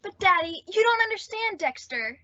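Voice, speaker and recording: High, bright, girlish radio teenage daughter, played by a woman. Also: animated, normal speed, full character voice. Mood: pleading. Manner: girlish, earnest, pleading. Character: sweet, naive, devoted teenage daughter. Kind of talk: old-time radio sitcom acting. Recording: dull, lo-fi audio.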